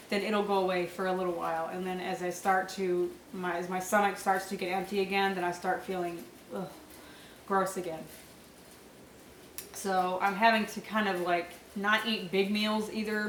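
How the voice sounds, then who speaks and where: A young woman talks casually to her viewers, her voice stuffy and nasal from a head cold. She fills her sentences with ums and soft laughs. The sound is echoey, and she sits a little back from the mic. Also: lowish voice, distant mic, slight background noise. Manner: chatty, many fillers and small laughs. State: congested, nasal, sore throat. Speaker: vlogger. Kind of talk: casual pregnancy update vlog.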